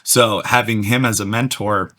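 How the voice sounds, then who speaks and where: Man, slightly hoarse, lower pitch, energetic storyteller, casual interview. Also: normal speed. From Atlanta, USA. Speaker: podcast guest, entrepreneur.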